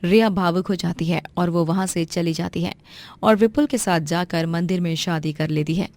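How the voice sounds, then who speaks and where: A woman's voice: on the low side, fairly even intonation, moderate pace, darkish tone. A woman reading a scripted moral story as its narrator.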